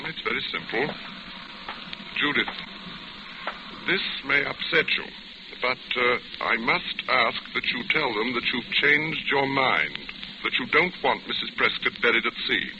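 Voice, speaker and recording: An actor playing a smooth, scheming fugitive in a 1940s radio drama, polite, insinuating and self-assured. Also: male voice, mid-range voice, animated, a little slow, lightly acted. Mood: insistent, smooth. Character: smooth, scheming male fugitive, coolly persuasive. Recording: muffled, noisy vintage radio recording.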